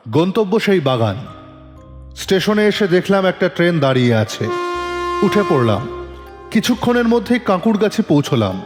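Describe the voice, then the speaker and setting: Casting: female detective-story narrator, low for a woman, highly animated, dramatic scripted delivery, reverberant sound. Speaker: narrator, also voicing the detective. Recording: long reverb with music behind. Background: music.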